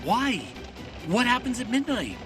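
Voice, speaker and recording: High-voiced, anxious traveler. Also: male voice, sing-song, measured pace, distant mic, heavily acted. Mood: panicked demanding. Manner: nervous, insistent questioning. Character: anxious, questioning male traveler on business. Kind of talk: audio drama acting. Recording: off-mic voice with music behind.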